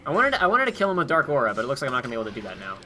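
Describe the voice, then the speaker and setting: A man's voice: mid-range voice, huge pitch swings, racing, sharp tone. A man co-hosting a game playthrough, chatting casually and rambling with restarts. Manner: rambling, self-correcting. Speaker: let's play co-host. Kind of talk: casual chat over gameplay. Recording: faint game music behind.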